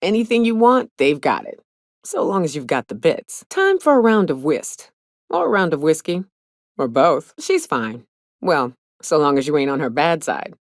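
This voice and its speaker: A hard-bitten wilderness guide in a video game, pitched low for a woman and swinging freely in tone. She is blunt, cynical and plainspoken, with rustic turns of phrase and a close, dry studio sound. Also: sing-song, moderate pace, dry recording. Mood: dry, fond. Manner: wry, plainspoken, swearing, folksy rustic phrasing. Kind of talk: video game character dialogue.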